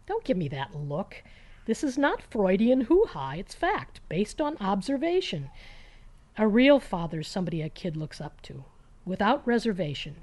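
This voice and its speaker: A woman's voice: mid-range voice, very animated, moderate pace, a little mellow. A woman reading a first-person short story in a conversational, wry and emphatic way, with clipped phrases, as if talking to someone.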